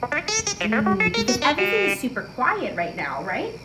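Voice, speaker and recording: A woman hosting a children's picture book story-time, chatty and playful, with expressive emphasis on sound words and questions to young listeners. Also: fairly high pitch, unhurried. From lives in New York City. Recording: roomy, distant mic with loud music.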